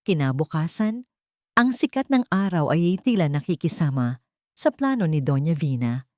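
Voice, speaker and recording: A woman's voice: low-pitched, sing-song, normal speed, mellow, no echo, studio quality. A woman storyteller reading scripted fiction in a dramatic narration style.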